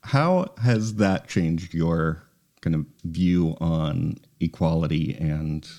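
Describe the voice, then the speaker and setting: Very low, slow-talking male interviewer. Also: dark tone. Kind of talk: interview questions, brief backchannels.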